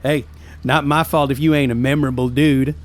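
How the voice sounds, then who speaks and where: A man's voice: mid-range voice, fairly even intonation, fairly quick, natural voice. A man acting a brash, mouthy young farmhand. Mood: cocky. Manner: cocky, slangy. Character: brash, mouthy mid-twenties country farmhand dude. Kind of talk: audio drama acting.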